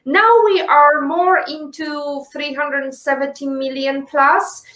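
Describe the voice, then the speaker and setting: A woman's voice: sits high, fairly even intonation, slow pace, a bit bright. A woman hosting an interview, moderating and passing audience questions along to a guest. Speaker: interview host. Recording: roomy, echoey sound.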